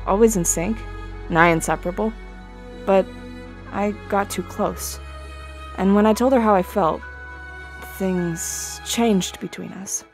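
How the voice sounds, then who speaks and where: A woman voicing a playful, teasing young huntress and bodyguard in an animated fan series. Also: fairly low pitch. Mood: wistful. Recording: background music under the voice.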